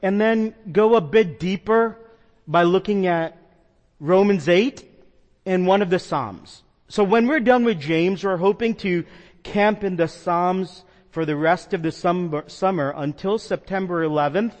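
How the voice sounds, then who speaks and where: A man's voice: high voice, a little slow, darkish tone, in a large hall. A man from the New York metro area preaching a sermon as a pastor, earnest and conversational with some fillers. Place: church sanctuary.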